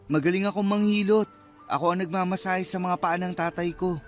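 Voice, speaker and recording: A man's voice: high voice, steady tone, a little fast, natural voice. A man acting an earnest, awkward, apologetic young man in a Filipino radio drama. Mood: concerned, apologetic. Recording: music bed behind the voice.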